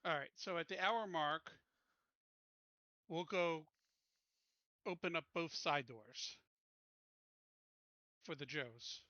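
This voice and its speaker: A man's voice: fairly high pitch, expressive, takes it slowly, pauses often, sharp tone, dry recording, dull audio. A man playing a tabletop game, talking casually and tersely as he lays out plans in a matter-of-fact way. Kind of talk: casual game planning talk.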